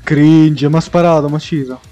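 A man's voice: fairly high pitch, steady tone, brisk, dark tone, dull audio, faint music behind. A man improvising banter in an online roleplay game, mischievous and mock-polite. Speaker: player in a roleplay game, trolling others.

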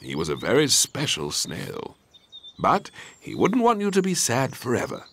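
A kindly, blustery older cartoon gentleman. He stammers gently, his bright voice leaping widely up and down. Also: medium pitch, wildly swooping pitch, moderate pace, slightly rough, exaggerated voice. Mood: gently consoling. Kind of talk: preschool cartoon voice acting.

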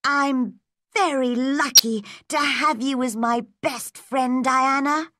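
Woman playing a young storybook girl, high voice, proud, quick-tempered, emphatic, dry close recording. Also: steady tone, bright, forceful tone, dry recording, full character voice. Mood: happy, affectionate. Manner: emotional, emphatic exclamations.

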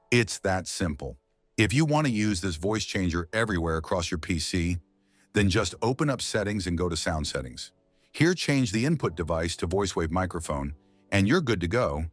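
A man hosting a scripted software tutorial, narrating as the presenter. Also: low voice, dry recording. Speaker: YouTube tutorial host.

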